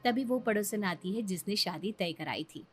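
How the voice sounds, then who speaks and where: A woman's voice: mid-range voice, animated, moderate pace, music in the background. A woman reading scripted story narration as a storyteller.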